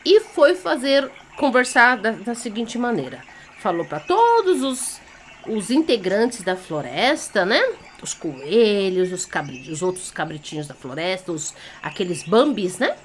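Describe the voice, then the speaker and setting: A woman's voice: medium pitch, unhurried. A Brazilian woman telling a children's story theatrically and off the cuff, in a conversational way that checks in with listeners. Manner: animated, conversational, addressing listeners with check-ins.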